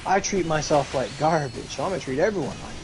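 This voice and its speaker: A man's voice: a little high, lively intonation, fast talker, music in the background, rough recording. A man streaming, chatting casually in a rambling way full of fillers and restarts.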